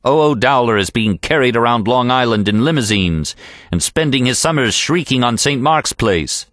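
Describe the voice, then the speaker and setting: Man acting a pompous, sharp-tongued poet, theatrically varied. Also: mid-range voice, animated, normal speed, no echo, clean studio sound, pitched above the performer's own voice, lightly acted. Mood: scornful. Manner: declamatory, sneering, boastful. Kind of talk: audiobook character reading.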